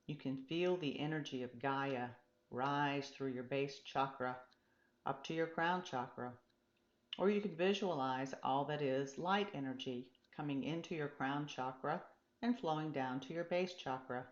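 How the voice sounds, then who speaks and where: A woman voicing a calm, patient, encouraging meditation teacher in a storybook, gently reassuring as the teaching goes on. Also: extremely low pitch, measured pace, roomy sound, dull audio. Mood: soothing, guiding. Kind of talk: storybook character dialogue.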